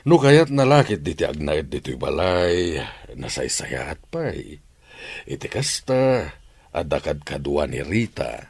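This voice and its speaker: A man playing a kindly, wealthy older employer in an Ilocano drama, speaking in a measured, deliberate way. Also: rumbling low, sing-song, vocal fry, darkish tone, slightly rough, no room sound, heavily acted. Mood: kindly, earnest. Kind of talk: Ilocano audio drama acting.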